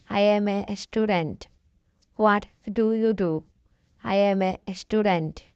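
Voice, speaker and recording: A woman reads simple practice dialogues at an extremely slow, deliberate pace. Her voice is smooth and clear, recorded dry and close with no room sound. The audio is slightly dull and lo-fi. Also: mid-range voice, a little mellow, no room sound. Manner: deliberate, evenly paced beginner drill reading. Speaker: English lesson voice. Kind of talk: slow scripted conversation drill.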